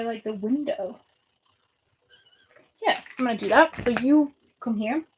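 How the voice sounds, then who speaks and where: A woman's voice: fairly high pitch, a little mellow, poor audio. A young woman with a Venezuelan accent chatting casually with viewers as she sorts her bookshelves, rambling with many fillers. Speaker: book vlogger. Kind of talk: casual chat with live viewers. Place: home room by bookshelves.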